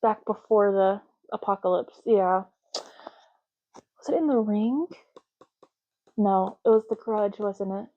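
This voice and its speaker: A soft-spoken woman hosting an ASMR roleplay, talking in an unhurried, rambling way as if privately to the listener. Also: medium pitch, phone-quality sound.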